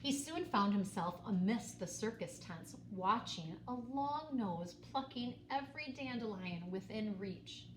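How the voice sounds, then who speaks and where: Animated woman reading a storybook aloud, roomy echo. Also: medium pitch. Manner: dramatic, with pauses and emphasis on key words. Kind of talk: expressive children's read-aloud narration. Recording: roomy echo, faint background noise.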